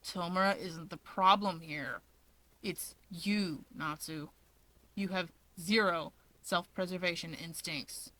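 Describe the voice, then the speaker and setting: A woman's voice: lowish voice, steady tone, slow pace, pushed and bright, rough recording. A woman voicing a nagging, anxious older brother in a fan story, terse, sardonic and exasperated.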